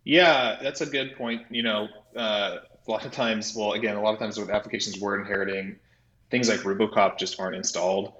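A man talking casually as a technical podcast guest, halting and often correcting himself as he explains. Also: fairly even intonation, a bit bright. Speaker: podcast guest, software developer. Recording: roomy, slightly dull low-fidelity audio.

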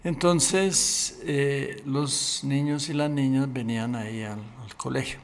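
An older man, sixties or above, telling his story as a pastor and interview guest in a measured, deliberate way with frequent pauses. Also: medium pitch, steady tone, gravelly. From Colombia. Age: 60s or older.

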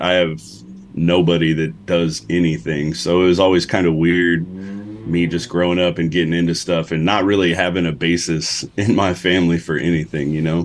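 Low-voiced young guy from Alaska, easygoing guest who rambles. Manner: laid-back, rambling, many "like"s. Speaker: podcast guest, metal guitarist. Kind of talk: casual interview chat.

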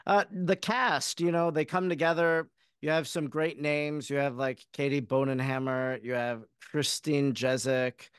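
A man's voice: medium pitch, fairly even intonation, unhurried, clear, resonant tone, no room sound, studio quality. A man interviewing a guest conversationally as a podcast host.

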